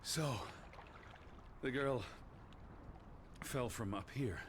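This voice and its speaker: An actor playing an anxious, rational 1920s American naturalist in a video game, speaking formally and precisely with weary exasperation. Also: male voice, medium pitch, very slow. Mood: weary, breathless. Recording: clear ambient noise behind voice.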